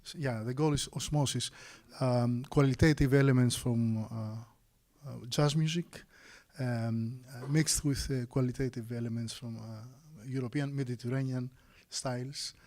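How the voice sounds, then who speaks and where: A man talks to an audience in a flat, even voice. He pauses often, filling the gaps with hesitant sounds. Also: mid-range voice. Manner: halting, many fillers, flat delivery. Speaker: musician. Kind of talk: casual talk to an audience.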